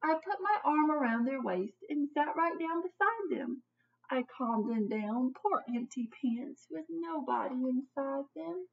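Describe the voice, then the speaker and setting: A woman's voice: sits high, expressive, unhurried, vocal fry. A woman in her thirties reads a children's picture book aloud expressively and dramatically, like a teacher putting questions to young listeners. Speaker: children's story reader. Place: small home office, indoors. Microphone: computer webcam at desk distance. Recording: dull webcam audio, distant from mic.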